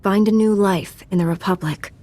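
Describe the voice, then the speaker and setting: An actress playing a steadfast, principled Jedi knight in a video game, speaking in a firm, earnest, assured way. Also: female voice, mid-range voice, moderate pace, dark tone, lightly acted. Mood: firm compassion.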